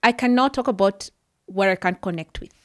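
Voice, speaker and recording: A woman's voice: mid-range voice, fairly quick, no echo, studio quality. A woman sharing her personal story as a podcast guest, earnest and often restarting her sentences. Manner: earnest, searching for words with frequent restarts. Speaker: podcast guest, psychology worker. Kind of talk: personal testimony in a podcast interview.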